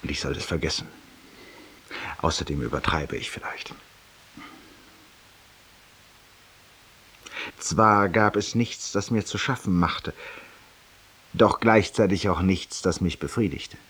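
Middle-aged male barroom raconteur: low husky voice, urbane, ironic, confessional, theatrical radio monologue. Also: measured pace, a bit bright, full character voice. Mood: wistful, subdued. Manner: eloquent, urbane, ironic, addressing a silent listener.

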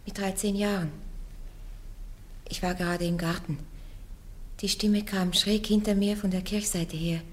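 A woman's voice: medium pitch, fairly even intonation, unhurried, pauses often, natural voice. Actress as a defiant young peasant woman. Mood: earnest, firm. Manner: blunt, defiant, plain-spoken. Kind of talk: radio play acting.

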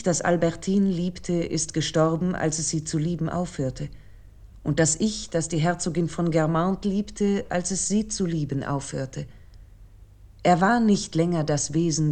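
An actress playing a solemn, contemplative narrator, giving measured literary narration in a radio play. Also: female voice, low-pitched, dark tone.

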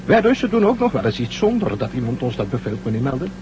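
A muffled radio drama with clear background noise. A man acts a proud, stern commander of a spaceship. He is sarcastic and authoritative, with a very varied pitch. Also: sing-song, quick pace, natural voice. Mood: proud, needling. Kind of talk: Dutch radio drama acting. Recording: muffled, noisy old radio drama recording.

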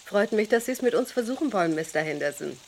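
A woman's voice: mid-range voice, animated, fast, darkish tone, natural voice. A woman acting a composed secretary in an old radio crime play. Her voice is mid-range, polite and businesslike. Faint noise sits in the background. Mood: polite, welcoming.

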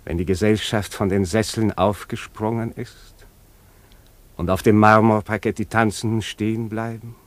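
An actor playing a melancholy, courteous prince in a literary radio play, speaking in a measured, formal, lyrical way. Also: male voice, on the low side, little pitch movement, dry recording, natural voice. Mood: dreamy, intense. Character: melancholy aristocratic prince, courteous, dreamily commanding.

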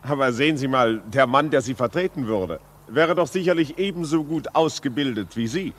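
An actor playing a concerned, educated gentleman visitor in a 1950s German radio drama, urbane and probing. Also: medium pitch, lively intonation, normal speed, natural voice. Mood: concerned, insistent. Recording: muffled-edged 1950s radio drama recording.

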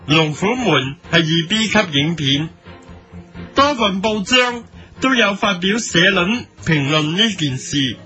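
A man's voice: sits high, pushed and bright, full character voice. A man playing a mock-serious, indignant television editorial presenter with a Hong Kong Cantonese accent, emphatic in a parody broadcast.

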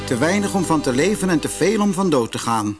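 A man voicing a gentle, ailing widowed mother in a storybook, weak, sighing and tender. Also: on the high side, expressive, brisk, loud music behind the voice, voice pushed up, lightly acted. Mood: resigned. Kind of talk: storybook character voice.

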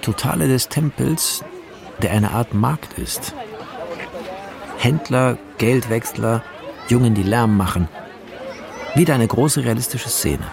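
A man narrating a radio drama, reading out film scene directions in a terse, deliberate, descriptive manner. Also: medium pitch, gravelly, a crowd in the background.

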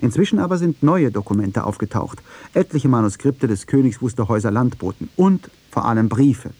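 Male radio narrator, slightly husky, dry sound. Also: medium pitch, lively intonation, brisk, a little mellow, no room sound. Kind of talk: scripted radio feature, argumentative narration.

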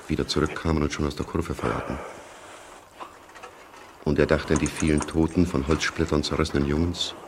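A man's voice: a bass voice, noisy background. A man narrating a literary radio play about war, as a storyteller reading scripted prose. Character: male storyteller of a young soldier's war.

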